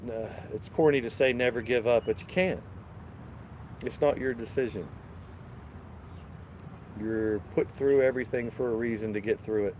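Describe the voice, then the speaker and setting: A man's voice: mid-range voice, normal speed, long pauses, darkish tone, a little hiss or hum. A man hosting a casual live stream outdoors in his backyard garden, stuffed up with a cold, rambling to his chat as he walks with his phone. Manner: rambling, laid-back, talks to chat while walking. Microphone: phone camera held while walking.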